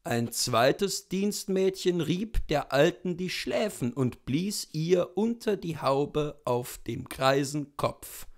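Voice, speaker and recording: Slow, high-pitched man reading literature. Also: animated. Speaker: literary reader, narrator. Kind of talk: expressive literary audiobook narration. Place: indoors in front of bookshelves.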